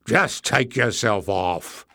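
A man's voice: lowish voice, takes it slowly, bright, forceful tone, dry recording, clean studio sound. A man voicing a huge, belligerent, boastful warrior in a fantasy story, growling and blustering. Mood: surly. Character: hulking savage male warrior, boastful, belligerent, slow-witted.

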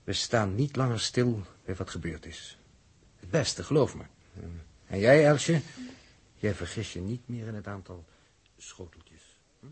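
A man acting a sober, resolute spaceship crew leader in a 1960s radio drama, speaking in a measured, firm way. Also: on the low side, lively intonation, slightly rough, heavily acted. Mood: grave, resolute. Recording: noisy old radio recording.

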